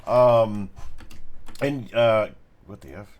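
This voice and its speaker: A man narrating a tabletop game as game master, informal and halting as he searches for words. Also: fairly low pitch, darkish tone.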